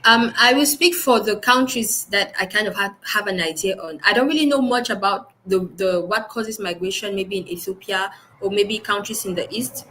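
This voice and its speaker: A woman with a Cameroonian accent answering as an interview guest in a measured, careful, conversational way. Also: medium pitch, bright, forceful tone, a little hiss or hum, poor audio.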